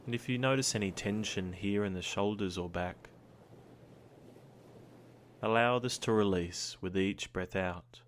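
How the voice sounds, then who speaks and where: A man guiding a meditation in a calm, soothing, gentle manner, with long pauses between his instructions. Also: fairly low pitch, a little hiss or hum. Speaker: meditation guide. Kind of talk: guided body scan meditation. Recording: surf sounds behind the voice. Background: ocean surf ambience.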